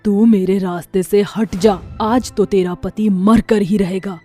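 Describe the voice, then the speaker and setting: A man voicing a cruel, cackling female witch in a cartoon horror story, with slow, menacing threats. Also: high voice, fairly even intonation, warm tone, faint music behind, pitched above the performer's own voice.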